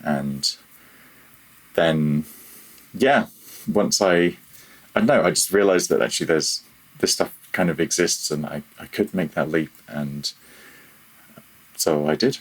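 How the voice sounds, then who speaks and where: A man talking reflectively and casually as an interview guest, halting and self-deprecating as he goes. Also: a bass voice, breathy edge, rough recording. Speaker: podcast guest, freelance audio programmer.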